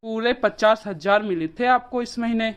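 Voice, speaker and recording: A woman's voice: fairly high pitch, normal speed, clean tone, muffled recording, lightly acted. A woman voicing a selfish adult son in a cartoon story, self-justifying and doting on his wife, on band-limited audio. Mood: irritated.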